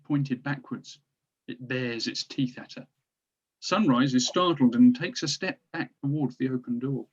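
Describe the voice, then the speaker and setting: A man narrating stage directions from a drama script, recorded on dull, lo-fi audio. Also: mid-range voice.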